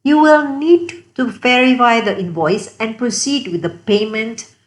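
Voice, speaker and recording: A woman's voice: a little high, unhurried. Woman narrating a scripted tutorial.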